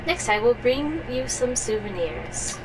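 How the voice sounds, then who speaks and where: A woman's voice: on the high side, sing-song, a little slow. A woman giving casual commentary as she plays through a video game. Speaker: gamer playing through a story quest. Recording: clear noise, game audio behind.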